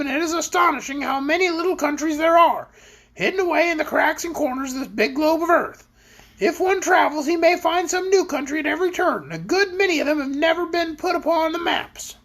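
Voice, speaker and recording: A woman's voice: high-pitched, a little fast, a bit bright, voice pushed up, rough recording. A woman voicing a well-travelled, know-it-all flying creature from a storybook, lecturing in a lofty way. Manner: lofty, matter-of-fact lecturing.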